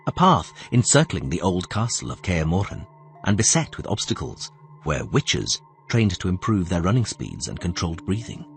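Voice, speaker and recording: A man narrating a fantasy audiobook as scripted storytelling, with expressive, dramatic phrasing. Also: lowish voice, breathy edge.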